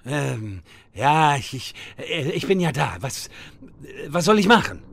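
A man's voice: on the high side, sing-song, unhurried, gravelly, a bit bright, heavily acted. A man playing a distracted, self-important wizard who stammers and repeats words in a fantasy parody audio drama. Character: distracted, pompous, lazy great wizard.

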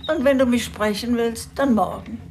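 A woman's voice: a little high, moderate pace, noisy background, close to an everyday voice. An actress playing an older, blunt and folksy village woman, self-assured and chatty, in a radio drama. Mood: blunt, feisty. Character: plucky old village woman, former guesthouse keeper, blunt and chatty.

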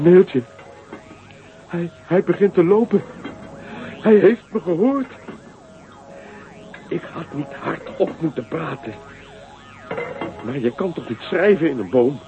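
A man's voice: high-pitched, full character voice. An actor playing a timid young man who is afraid of heights and stammers with fear in a radio play. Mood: terrified. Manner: stammering, fearful. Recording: tinny radio recording with faint music.

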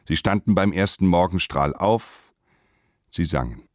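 Deep-voiced man narrating a radio drama. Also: lively intonation, a little slow, a little mellow, dry recording, clean studio sound. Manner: clipped, terse sentences.

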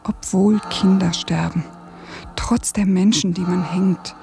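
A woman's voice: on the low side, fairly even intonation, slow pace, creaky, warm tone, faint music behind. An actress playing a tender, anguished young revolutionary woman in a German radio drama, intense and pleading, often dropping to a whisper. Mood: urgent pleading.

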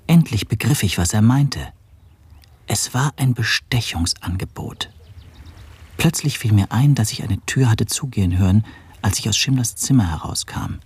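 Husky, guarded, polite man. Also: lowish voice, lively intonation, moderate pace, a little mellow. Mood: sober narrating. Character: guarded, evasive Hungarian émigré, polite narrator-protagonist. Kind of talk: radio play dialogue and first-person narration.

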